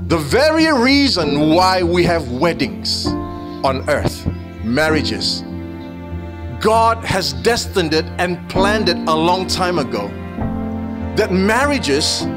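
A man with a high voice preaches at a wedding in the manner of a pastor. He takes his words slowly, and music plays clearly behind him. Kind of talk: wedding sermon.

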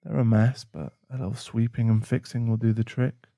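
A man playing a caring, protective boyfriend in an intimate ASMR roleplay, speaking softly and soothingly with halting pauses. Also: medium pitch, little pitch movement, dry recording, studio quality, lightly acted. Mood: reassuring, loving. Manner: soft, soothing, halting, sighing. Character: caring, protective, shaken boyfriend comforting a partner. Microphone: close mic.

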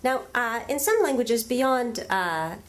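A woman's voice: on the high side, takes it slowly. Woman lecturing in a large hall. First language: Russian. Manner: lively, frequent fillers, asks the audience rhetorical questions. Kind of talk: academic lecture to an audience.

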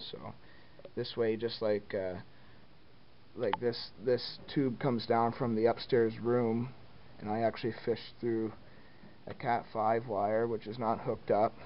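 A man talks slowly and easygoingly while filming in a basement, his voice mid-pitched. The camera mic sounds dull and lo-fi, with a faint hiss behind him. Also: flat delivery. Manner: unhurried, many ums and uhs, plain easygoing talk. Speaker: hobbyist showing home phone wiring. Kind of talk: casual filmed walkthrough. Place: basement, indoors. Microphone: handheld camera mic, speaker off screen behind it.

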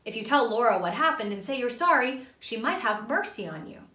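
A sensible male cartoon detective , read out by a woman from a storybook. He explains things patiently and earnestly, in a high, animated voice. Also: a little fast, reverberant, far from the mic.

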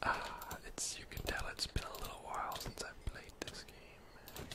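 Casting: young male gaming streamer, whispered ASMR, casual and rambling, close-miked. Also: normal speed. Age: young adult. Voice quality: soft, breathy whisper. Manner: casual, rambling, thinking aloud. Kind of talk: whispered ASMR gameplay commentary.

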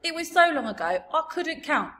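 A woman voicing a huge, very old, gentle male giant from a storybook, with mangled grammar and invented words. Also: sits high, big pitch swings, normal speed, voice pushed up, exaggerated voice. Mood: earnest, emphatic. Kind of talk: storybook character voice.

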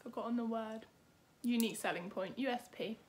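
A woman's voice: a little high, a little fast, slight background noise. A young British woman chatting casually to camera as a vlogger, informal and self-deprecating. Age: young adult. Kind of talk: casual vlog chat to camera.

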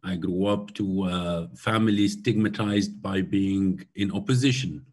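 Keynote speaker, man, 40s, from Syria: unhurried, halting delivery, somewhat low and husky. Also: a little mellow. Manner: slow, thoughtful, many hesitations. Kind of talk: lecture over video call. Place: room at home by a glass door. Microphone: laptop webcam on video call.

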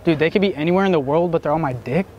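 A young man with a slightly high voice hosts a prank vlog in a deadpan, cheeky way. He banters casually with strangers, and there is clear noise around him on the handheld recording. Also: very fast, muffled recording. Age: 20s. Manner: deadpan, provocative joking. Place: city sidewalks and a big store. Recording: noisy handheld camera audio in public places.

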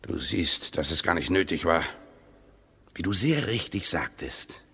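A wary husband in an embittered marriage, in radio-play style. His low voice is very husky yet sharp and forceful, clipped and sardonic as he needles. A long cave-like reverb surrounds it. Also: animated, unhurried, full character voice. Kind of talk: radio drama acting.

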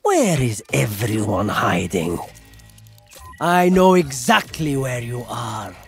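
A man's voice: mid-range voice, sing-song, slow pace, faint music behind. An actor playing a sly male spy with teasing menace in a video game. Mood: sly, taunting. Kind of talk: video game combat voice lines.

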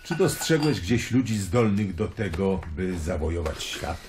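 Roman traveler, radio play, lowish voice, eloquent, wry, obsessed with prophecy. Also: male voice, sing-song, unhurried, background noise, full character voice. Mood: earnest, persuasive. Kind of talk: radio drama acting.

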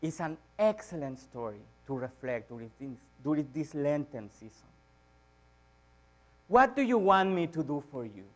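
Guy preaching in church, slightly high voice, repeats stuff for emphasis, goes loud then soft. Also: big pitch swings, moderate pace. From Chile. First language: Spanish. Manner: emphatic repetition with dramatic rises and drops in volume. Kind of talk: church sermon. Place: church sanctuary, speaking from a lectern on stage.

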